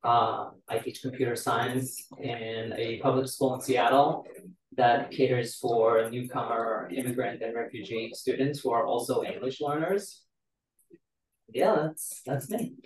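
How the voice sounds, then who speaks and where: A man's voice: medium pitch, measured pace. Man, roomy distant sound. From Israel. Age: 40s. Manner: relaxed, storytelling, many "uh" fillers. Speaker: guest. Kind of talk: casual podcast interview. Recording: roomy, distant mic with faint noise.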